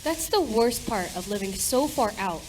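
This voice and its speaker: A woman's voice: mid-range voice, expressive, moderate pace, very noisy, lightly acted. A woman playing a proper, fretful mother and hostess in an amateur radio play, with genteel theatrical delivery. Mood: grumbling.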